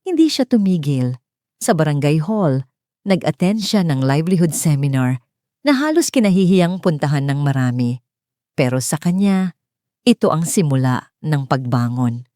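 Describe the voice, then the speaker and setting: Low-pitched woman narrating drama, lively intonation. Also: dry recording, clean studio sound. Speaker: storyteller, narrator. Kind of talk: dramatic scripted story narration.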